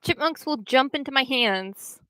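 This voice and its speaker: A woman's voice: sits high, expressive, a little slow, bright, forceful tone, dry recording, low-quality recording. A young woman casually chatting on a livestream, answering viewers' questions in a rambling way full of restarts and fillers. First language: English.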